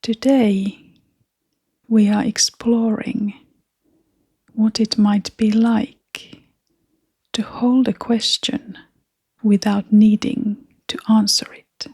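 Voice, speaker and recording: A woman leading a guided meditation in a gentle, breathy, whispery and creaky voice, speaking in short phrases with long, unhurried pauses. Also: mid-range voice, flat delivery, no room sound, clean studio sound.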